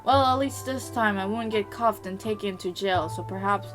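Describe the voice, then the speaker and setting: A woman's voice: medium pitch, normal speed, over music. A woman, an amateur voice actor, reading first-person visual novel narration steadily, with small slips and restarts.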